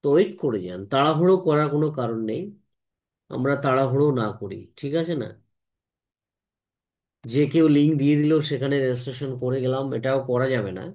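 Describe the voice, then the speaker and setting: A man's voice: medium pitch, fairly quick, pauses often, darkish tone. A man hosts an informal online team meeting, repeating himself, often adding tag questions and mixing in English words.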